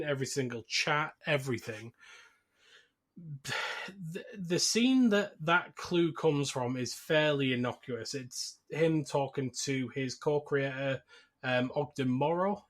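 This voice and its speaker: A man's voice: medium pitch, unhurried. Man explaining films in casual podcast chat. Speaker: podcast host.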